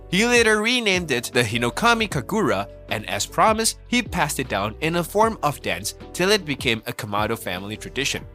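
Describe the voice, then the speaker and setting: Bright, animated male explainer narrator. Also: a little high. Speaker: narrator of an anime explainer video. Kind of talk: scripted narration. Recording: music bed under the voice. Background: background music.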